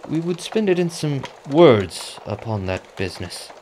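An actor playing a brooding, conflicted nobleman with deliberate, theatrical verse delivery in a Shakespearean radio drama. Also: mid-range voice, big pitch swings, a little mellow, a little hiss or hum. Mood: guarded.

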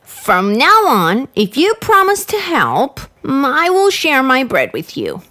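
A woman's voice: high-pitched, wildly swooping pitch, slow, sharp tone, exaggerated voice. A woman voicing a small, eager, hard-working red hen in an exclamatory children's cartoon style. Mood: resolute. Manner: exclamatory, insistent. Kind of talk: children's cartoon story acting.

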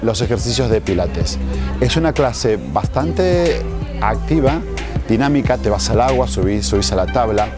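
A man's voice: medium pitch, expressive, moderate pace. A man in his forties, a fitness instructor presenting classes informally to camera outdoors. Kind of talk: informal promotional talk to camera. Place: outdoors at a seaside marina. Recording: music playing behind the voice.

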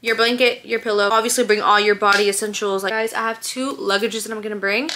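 A woman in her twenties chatting casually to camera in an animated, slangy way, swearing freely. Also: medium pitch, quick pace. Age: 20s. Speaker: vlogger. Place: car, shops, parking lot, cluttered bedroom. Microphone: handheld or propped vlog camera. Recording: noisy handheld camera audio.

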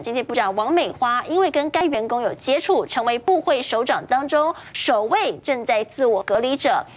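A young woman with a Taiwanese accent presenting scripted news with conversational asides in a TV studio, speaking through a face mask. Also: high-pitched. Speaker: news anchor.

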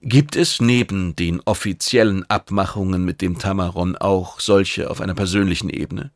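A man reads as a cheeky oracle page who is secretly a spy in a science fiction story. He sounds calm, formal and probing, with a low, gravelly voice recorded very dry. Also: normal speed, no echo. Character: shape-changing spy posing as eager, cheeky oracle page.

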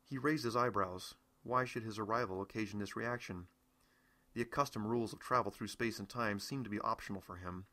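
A middle-aged American man narrates a novel in the first person. His voice is fairly low yet bright and forward, delivered as a scripted audiobook reading by the author. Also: brisk.

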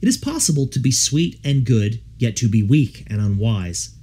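A man reading a devotional audiobook in an earnest, measured, formal way. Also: mid-range voice, lively intonation, mellow, breathy edge. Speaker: audiobook reader. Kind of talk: scripted audiobook narration of a devotional text.

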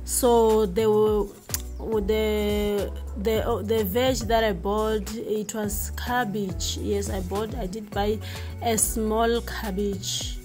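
An unhurried woman talks viewers through her cooking with plenty of fillers and restarts. Her pitch sits in the middle of the usual range. She is recorded in her home kitchen on a camera mic set across the room, with faint music underneath. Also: little pitch movement, darkish tone. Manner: chatty, hesitant, many fillers, calls viewers guys. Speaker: vlogger cooking for her family. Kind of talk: casual cook-with-me narration.

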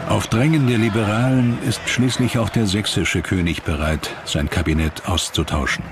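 A man narrating a scripted historical documentary in a measured, dramatic way. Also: lowish voice, fairly even intonation. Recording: dramatic music behind the voice. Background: music.

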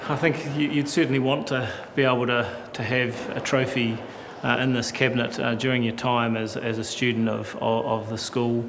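Halting New Zealand headmaster in echoing hall. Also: male voice, mid-range voice, little pitch movement. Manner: measured, halting. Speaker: school headmaster. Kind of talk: formal explanatory interview. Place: brick school corridor by trophy cabinets. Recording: reverberant hall with clear background noise.

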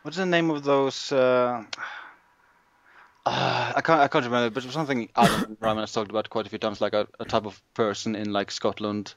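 A man's voice: medium pitch, steady tone, brisk, dry recording. A man, an amateur voice actor, chatting casually between takes.

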